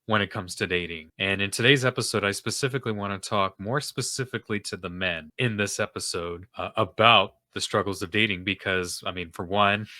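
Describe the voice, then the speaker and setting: A young man talks into a podcast microphone in a low, deep register, his tone bright and forceful. His nose is stuffed up, and he rambles earnestly with plenty of fillers as a self-esteem coach hosting his own show. The sound is dry and close, with no room echo. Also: brisk, hardly any pauses. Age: young adult. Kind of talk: casual solo podcast monologue.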